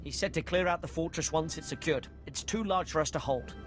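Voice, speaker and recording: An actor playing a sardonic army sergeant in a video game, reporting in a clipped military manner. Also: male voice, fairly high pitch, brisk, faint music behind, natural voice. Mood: brisk. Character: crisp, sardonic male Imperial army sergeant.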